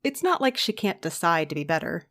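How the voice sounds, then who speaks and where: A woman's voice: on the low side, very animated, brisk, a bit bright, no room sound. A woman playing a blasé, teasing comic book editor in audio drama banter, with flippant, dry humour.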